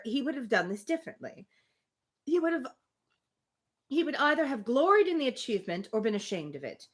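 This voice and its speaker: A woman hosting a live reading of a classic novel, reading expressively with casual, amused asides. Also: a little high. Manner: expressive reading, breaks off for informal amused commentary. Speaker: host reading a novel aloud on a livestream.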